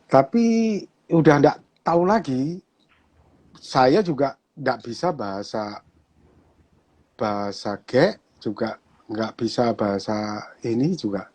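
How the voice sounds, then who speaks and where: A man with a Javanese accent, a pastor talking casually and relaxed in an online conversation, chatty and quick to laugh. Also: medium pitch, slightly rough. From Java, Indonesia.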